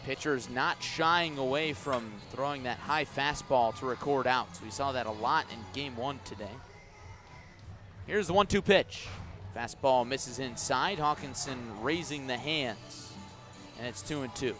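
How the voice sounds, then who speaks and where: An American man doing live radio play-by-play of a baseball game, measured between pitches and emphatic on the action. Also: music in the background. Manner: measured description rising into emphatic calls on the action. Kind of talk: live radio sports commentary. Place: outdoors at a ballpark.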